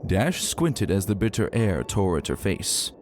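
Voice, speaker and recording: A man narrating a dramatic story in an expressive, emphatic and colloquial way. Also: low-pitched, unhurried, a little hiss or hum. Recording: soft music behind narration.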